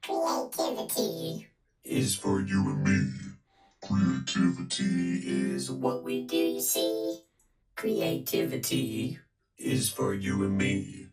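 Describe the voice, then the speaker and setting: A man's voice: mid-range voice, wildly swooping pitch, slow pace, long pauses, music in the background. A man's rhythmic looped vocal phrase processed through a vocoder into robotic synth tones. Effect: vocoder, robotic synth-toned.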